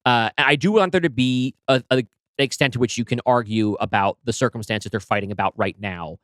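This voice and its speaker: Podcast guy explaining his story ideas, thinks out loud, lots of restarts, dry close mic. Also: medium pitch, a little fast, dry recording. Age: adult, married. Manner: thoughtful, explains his creative choices with many restarts. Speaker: podcast co-host, writer of the story. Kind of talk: casual podcast discussion.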